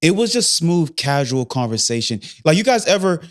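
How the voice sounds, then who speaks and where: A man in his thirties co-hosting a podcast, talking in a playful, rambling way and pausing to search for words. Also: on the high side, sing-song. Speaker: podcast co-host. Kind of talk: casual chat.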